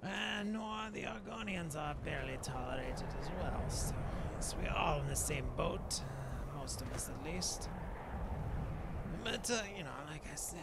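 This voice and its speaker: A man voicing a mild, polite Dark Elf shaman in game commentary, musing hesitantly with mannered courtesy. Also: on the high side, a little slow, gravelly, heavy background noise. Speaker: gamer narrating a roleplay video.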